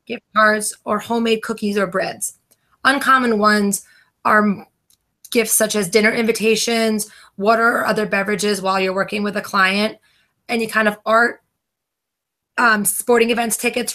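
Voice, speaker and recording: Bright-voiced young woman presenting a debate point. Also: medium pitch. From New Jersey, USA. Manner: careful, listing items one by one. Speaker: debate participant. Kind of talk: reading a prepared presentation.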